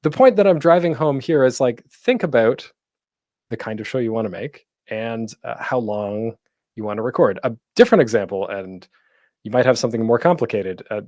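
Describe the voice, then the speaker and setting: A man's voice: mid-range voice, darkish tone, no echo, studio quality. A man presenting an informal online panel as a podcast host, speaking in a conversational, encouraging way with self-deprecating humor.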